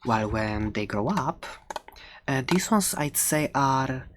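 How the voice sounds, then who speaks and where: A friendly dragon egg seller played by a woman in an ASMR roleplay, with a remarkably low voice. The delivery is unhurried and rambling, playful and a bit giggly, with faint noise and clicking behind it. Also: lively intonation, full character voice. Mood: gentle, rambling. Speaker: ASMR roleplayer playing a shopkeeper. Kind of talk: ASMR roleplay, improvised and conversational.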